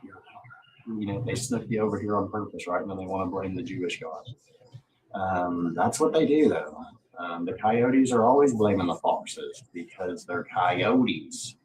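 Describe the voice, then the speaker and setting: A man's voice: sits low, moderate pace, a little mellow. A man hosting a livestream, rambling casually in a boastful way with plenty of swearing. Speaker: livestream host. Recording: roomy, distant mic, faint noise.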